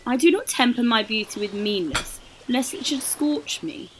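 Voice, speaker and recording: A woman's voice: a little high, animated, moderate pace, background noise, natural voice. A woman playing a proud, vain, sensual middle-aged woman in a literary radio drama. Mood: defiant.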